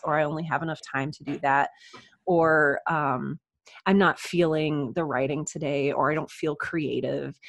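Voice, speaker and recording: A woman's voice: low voice, no echo, studio quality. A woman speaking as a writer guest in a conversational interview, candid and self-deprecating.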